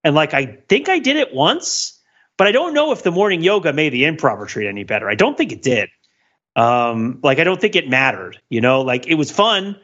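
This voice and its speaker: A man's voice: on the high side, very animated, brisk, a bit bright, muffled recording. A man co-hosting a casual podcast discussion, rambling and interrupting himself as he thinks aloud. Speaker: podcast host.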